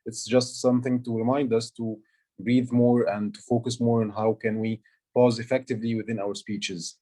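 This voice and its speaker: A man's voice: mid-range voice, flat delivery, moderate pace, dark tone. Hesitant Egyptian man reading a report. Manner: hesitant, many fillers and restarts. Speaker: aha counter and grammarian. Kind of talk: online club meeting report. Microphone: video call.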